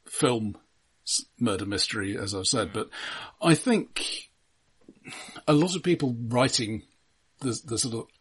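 A man co-hosting a film podcast, talking casually in a measured, analytical way with hesitant phrasing. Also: mid-range voice, expressive, fairly bright tone, slightly rough.

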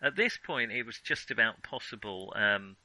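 Very bright-voiced man thinking aloud. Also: fairly low pitch, moderate pace, dry recording, poor audio. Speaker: podcast co-host. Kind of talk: casual film discussion.

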